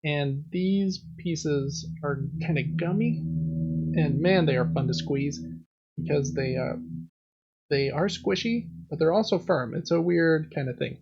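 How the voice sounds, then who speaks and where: A man's voice: on the high side, a little slow, creaky, a little mellow, muffled recording, a little hiss or hum. A man hosting a casual board game podcast, chatting and explaining things in a conversational way. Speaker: podcast host.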